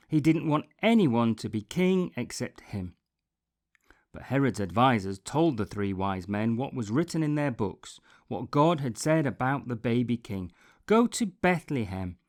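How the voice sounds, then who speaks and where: Man reading a children's storybook, slow and expressive. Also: mid-range voice. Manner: deliberate, dramatic phrasing with pauses, repetition and emphasis. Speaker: storybook reader.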